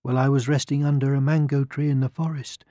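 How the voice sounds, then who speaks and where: A man's voice: mid-range voice, level tone, fairly quick, dark tone, dry recording, studio quality, close to an everyday voice. A man voicing a timid, worried little hare in a children's storybook, with a small voice and frantic, alarmed delivery. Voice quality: small, thin voice. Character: timid, panicky little hare who worries too much.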